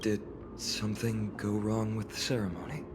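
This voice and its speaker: A man's voice: fairly low pitch, flat delivery, moderate pace, lightly acted. A man playing an earnest, courteous young hero in a video game, thoughtful with a dry wit. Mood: polite concern. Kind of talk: video game character acting. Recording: game music behind the voice.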